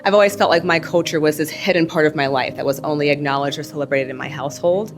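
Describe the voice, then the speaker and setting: A woman's voice: sits low, fast, faint music behind. A young adult woman, a first-generation Ghanaian raised in the United States, moderating a panel event in an upbeat, welcoming way.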